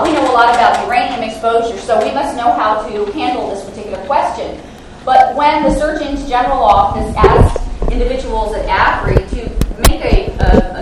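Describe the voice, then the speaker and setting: A woman's voice: a little high, a little hiss or hum. Woman lecturing in a very echoey hall. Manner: hesitant, self-deprecating, with fillers. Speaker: guest lecturer, lab scientist. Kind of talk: academic lecture. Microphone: handheld microphone. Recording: echoey hall, mic far off.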